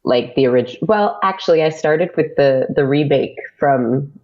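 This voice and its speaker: A woman's voice: sits low, moderate pace. A young woman, a podcast creator and actor, chatting casually as a guest and laughing often between fillers. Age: young adult. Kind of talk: casual interview chat. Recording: dull lo-fi sound.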